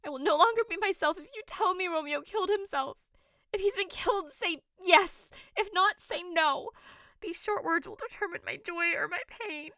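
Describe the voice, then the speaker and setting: High, bright, impassioned teenage girl played by a woman. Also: moderate pace, no echo, studio quality. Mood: distraught, demanding. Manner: theatrical, impassioned declaiming. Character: passionate teenage girl, newlywed noblewoman, desperate and grieving. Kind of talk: audio drama acting of modernized Shakespeare.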